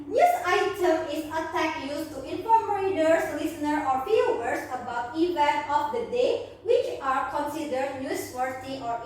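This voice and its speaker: A woman's voice: high-pitched, slight background noise. A woman with an Indonesian accent teaching a class as an instructor, prompting students with questions. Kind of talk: classroom lesson presentation. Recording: very echoey room, distant mic.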